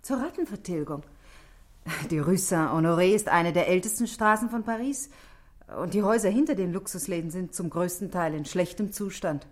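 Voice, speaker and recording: An actress playing a self-possessed, guarded married shop manageress in a radio drama, speaking precisely and defensively. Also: female voice, on the low side, normal speed, natural voice. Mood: cool, guarded. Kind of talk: 1960s German radio drama acting.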